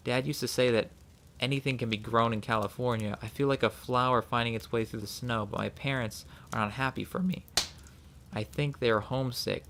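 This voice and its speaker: Gravelly man narrating gameplay. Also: mid-range voice, fairly even intonation, a little fast, fairly bright tone, slight background noise. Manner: thinks aloud, reads in-game notes aloud. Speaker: let's play host. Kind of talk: casual gameplay commentary.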